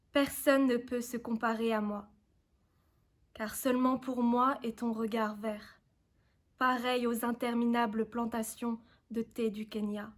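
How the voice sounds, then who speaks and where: A young woman, a conservatory student, reciting literary poetry in a measured, restrained way. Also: on the high side. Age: young adult.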